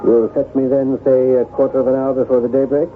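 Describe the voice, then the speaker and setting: An actor playing a proud, vengeful gentleman with a formal, bitter manner in a period radio drama. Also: medium pitch, level tone, fairly quick, lightly acted. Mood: bitter, resolute. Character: proud, vengeful Parisian gentleman bent on a duel. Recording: tinny old radio broadcast, music behind.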